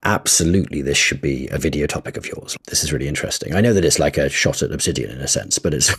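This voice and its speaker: A man's voice: a bass voice, fast talker, a bit bright, breathy edge. A man co-hosting a podcast, thoughtful and agreeable in casual tech chat. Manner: thoughtful, agreeable, many short affirmations. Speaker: podcast co-host.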